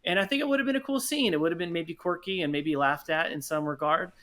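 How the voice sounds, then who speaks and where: A man's voice: fairly high pitch, quick pace, a bit bright. A man talking casually as a podcast guest, earnest and agreeable. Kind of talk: casual discussion.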